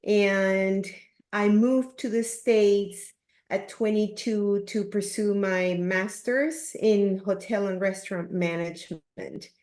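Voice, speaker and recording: A woman in her fifties from Peru with a Peruvian Spanish accent, speaking in a measured, earnest way as an interview guest. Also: medium pitch, fairly even intonation, a little mellow. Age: 50s. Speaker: podcast guest, leadership coach. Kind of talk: reflective interview talk. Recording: roomy, echoing sound.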